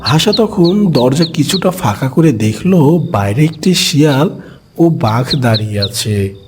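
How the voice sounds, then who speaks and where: A man's voice: mid-range voice, lively intonation, unhurried. Male storyteller over music. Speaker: narrator. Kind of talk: scripted children's story narration.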